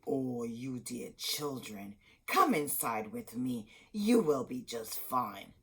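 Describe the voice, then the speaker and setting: A woman voicing a wicked old witch in a children's fairy tale, sly and greedy with a drawn-out, menacing delivery. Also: a bass voice, very animated, slightly rough, pitched below the performer's own voice, exaggerated voice. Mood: falsely sweet. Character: wicked old witch, sweetly coaxing then greedy and scolding.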